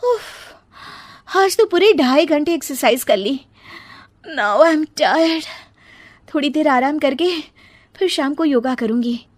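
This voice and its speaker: A woman's voice: sits high, animated, takes it slowly, pauses often, dry recording, voice pushed up. A woman voicing a breezy young fitness-obsessed daughter-in-law in a cartoon story, dropping in English phrases. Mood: weary, self-satisfied. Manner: drops English phrases into speech.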